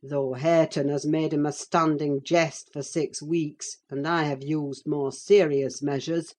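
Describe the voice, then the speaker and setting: A wicked middle-aged gentleman as acted by a woman narrator in an audiobook. He speaks low and very slowly, with deliberate menace and sardonic, accusing demands. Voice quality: deep. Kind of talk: audiobook character voice.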